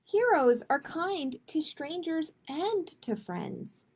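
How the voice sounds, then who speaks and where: A woman hosting a live picture-book storytime for children, speaking to viewers in simple, deliberate phrases. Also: sits high, sing-song, gravelly, a little mellow.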